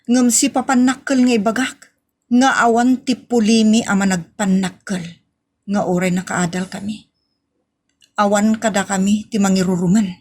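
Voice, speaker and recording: A woman's voice: mid-range voice, breathy edge. A woman acting a proud but anxious young woman in love in a radio drama.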